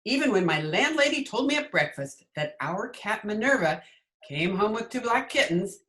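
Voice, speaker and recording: A woman's voice: mid-range voice, animated, moderate pace, a bit bright, lightly acted. A woman playing a witty, sardonic schoolteacher with dry wisecracks in an old-time radio comedy, recorded over a video call. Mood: wry. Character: witty, sardonic female high school English teacher. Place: recorded remotely at home. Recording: echoey room on video call.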